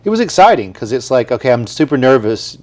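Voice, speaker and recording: A man's voice: medium pitch, big pitch swings, fast talker, a little mellow. Eager man in his forties, hosting. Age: 40s. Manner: eager, affirming, chatty. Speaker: host. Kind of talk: casual interview chat.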